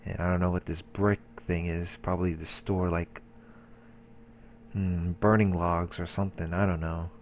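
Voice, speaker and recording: A man's voice: sits low, level tone, moderate pace, dull audio, slight background noise. A man hosting a let's play, reading game narration aloud with occasional casual asides.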